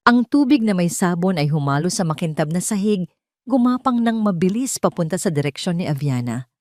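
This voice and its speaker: A woman's voice: fairly low pitch, animated, a little fast, darkish tone, dry recording, studio quality. A woman with a Filipino accent reading dramatic first-person scripted narration as a storyteller.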